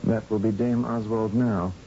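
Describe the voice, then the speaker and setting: A man's voice: steady tone, normal speed, over loud music, close to an everyday voice. An actor playing a weary, grim male scientist in a radio drama, with a blunt, resigned manner. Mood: grim resignation. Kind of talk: 1970s-style radio drama acting. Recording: muffled, noisy old radio broadcast with rumble.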